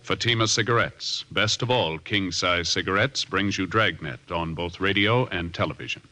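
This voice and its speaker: Low-voiced American radio announcer. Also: male voice, moderate pace, bright, forceful tone. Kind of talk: formal 1950s radio announcing. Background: music.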